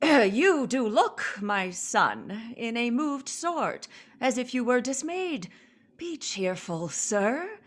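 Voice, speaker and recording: Old wizard, stately and slow, commanding but tired, shakespeare radio play monologue style. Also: female voice, a little high, big pitch swings, exaggerated voice. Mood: solemn, reflective. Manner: measured, declamatory. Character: Prospero, commanding, weary old magician.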